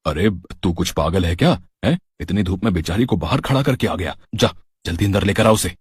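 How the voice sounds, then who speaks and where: A man voicing a good-humoured middle-aged Indian husband and father in a cartoon story, husky, coaxing and wry. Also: deep voice, lively intonation, a little fast, dry recording, clean studio sound, exaggerated voice.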